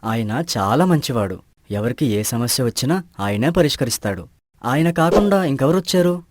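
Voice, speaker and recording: A man voicing a level-headed village friend who asks patient questions in an animated moral story, reassuring and inquisitive. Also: mid-range voice, moderate pace, background noise, natural voice.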